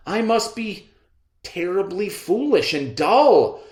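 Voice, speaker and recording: Man playing an anxious royal servant, very animated. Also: high voice, slow, reverberant, voice pushed up, full character voice. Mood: worried. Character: anxious, self-doubting male royal servant, eager to please.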